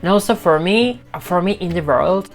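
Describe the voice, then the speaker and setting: A man's voice: high-pitched, expressive, moderate pace, soft background music. A young man, chatting casually to camera in a vlog, rambling with lots of fillers. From Istanbul, Turkey. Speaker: vlogger. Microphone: phone.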